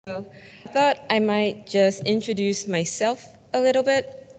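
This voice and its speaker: A woman from Singapore in her thirties giving a scientific conference talk, relaxed with many fillers. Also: mid-range voice, measured pace. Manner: casual with frequent fillers. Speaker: conference speaker, plant biology researcher. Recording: long hall reverb.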